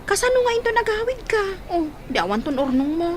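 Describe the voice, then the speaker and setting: A frank, concerned woman friend with an extremely high, very animated voice. It is lively radio drama acting over clear background noise. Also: quick pace. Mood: admonishing. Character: frank, concerned female friend working abroad.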